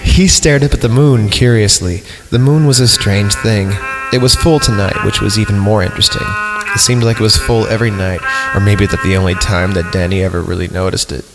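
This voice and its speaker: A man hosting a comedy podcast, reading a horror story aloud with sarcastic asides and mock-serious pauses. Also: on the low side, rough recording. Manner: sarcastic asides, breaks into laughter, mock-reads punctuation. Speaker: podcast host. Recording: music playing under the voice.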